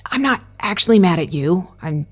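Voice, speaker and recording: Exasperated woman researcher, husky and expressive. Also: fairly low pitch, moderate pace. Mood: frustrated, rueful. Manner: sarcastic, hesitant, exasperated. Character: sarcastic, headstrong, stressed woman researcher. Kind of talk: naturalistic audio drama acting.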